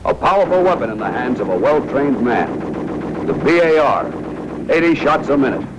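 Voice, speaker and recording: A man's voice: medium pitch, very animated, normal speed. An American man narrating a 1940s military training film in a tough, wry, slangy manner, speaking directly to soldiers. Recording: noisy 1940s film soundtrack.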